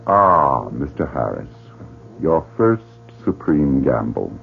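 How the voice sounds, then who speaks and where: A man playing a coldly commanding, sinister club director in a theatrical old-time radio mystery, speaking deliberately and savouring suspense. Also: very deep voice, very animated, creaky, slight background noise, full character voice. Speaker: radio drama actor. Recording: tinny old radio recording, humming.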